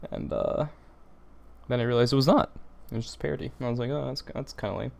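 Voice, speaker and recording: Rambling teenage boy streamer, deep voice. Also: steady tone, fast talker, vocal fry, darkish tone. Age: teens. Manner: rambling, repeats phrases, many fillers. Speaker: livestream host. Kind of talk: casual livestream chat reading comments.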